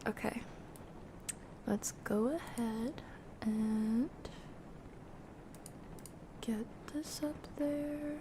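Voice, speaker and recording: A woman hosting a casual gaming livestream, chatting to viewers in a soft, breathy voice that often drops near a whisper. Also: on the high side, extremely slow, pauses often, background noise. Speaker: livestream host, gamer.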